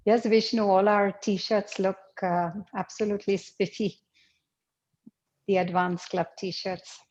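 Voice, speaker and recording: A woman with a soft-edged, mellow voice chats on a video call. She is gracious and effusive as she welcomes people, in slightly muffled sound. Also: medium pitch, flat delivery, takes it slowly.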